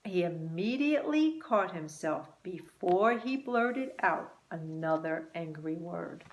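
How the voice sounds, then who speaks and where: Slow, low-pitched woman reading a storybook. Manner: expressive, deliberate. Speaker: storybook reader. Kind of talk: scripted children's story narration. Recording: roomy, echoing sound.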